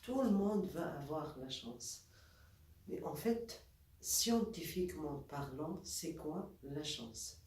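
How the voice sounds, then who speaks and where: A woman with a cold, calmly leading a guided exercise as a wellness instructor and demonstrating movements step by step. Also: low voice, takes it slowly. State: has a cold. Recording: echoey room, voice from far off, faint noise.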